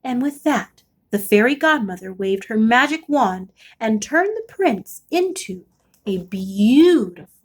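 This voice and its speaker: A woman reading a children's picture book aloud as an expressive storyteller. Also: on the high side, unhurried, slightly rough.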